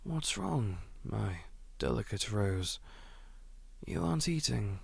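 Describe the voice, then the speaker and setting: A man playing a menacing aristocratic Duke in an ASMR audio drama, courteous and quietly threatening, often whispering. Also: fairly low pitch, big pitch swings, slow pace, vocal fry, husky, dry recording, exaggerated voice. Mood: courtly. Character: menacing aristocratic male Duke, manor lord.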